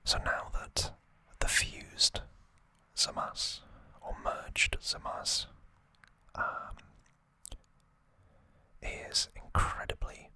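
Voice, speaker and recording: An ASMR host talks entirely in a breathy whisper. The delivery is very slow and unscripted, rambling gently through trivia and opinion. The whispered sound is very bright and sharp at the edges.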